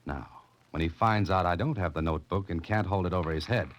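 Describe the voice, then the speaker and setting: Man acting a very deep-voiced hard-boiled American radio sleuth. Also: fast, close to an everyday voice. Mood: wry, pressing. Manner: clipped, wry, insistent. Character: tough, wry male adventurer-sleuth, narrating and pressing for answers. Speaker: lead actor and narrator. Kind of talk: 1940s radio suspense acting. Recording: noisy, muffled vintage 1940s radio recording.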